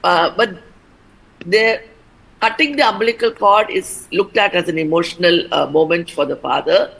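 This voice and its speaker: A woman doctor, answering questions as an expert guest on a news program. She explains medical rules in a careful, measured way, pausing often with "uh" and "you know", at a middle pitch. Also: a bit bright, husky, poor audio. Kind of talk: TV interview answers.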